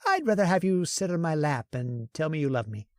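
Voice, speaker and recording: A woman's voice: deep voice, big pitch swings, fairly quick, no echo, clean studio sound. A woman playing a male scientist in an old-time radio horror play, teasing at first and frantic under threat. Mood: smooth teasing. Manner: smooth and teasing, then frantic and shouting.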